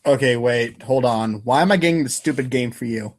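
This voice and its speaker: A man's voice: medium pitch, a little fast, pitched below the performer's own voice, natural voice. A man reading the part of an exasperated, sarcastic, foul-mouthed teenage boy in a comic script reading, ranting wordily.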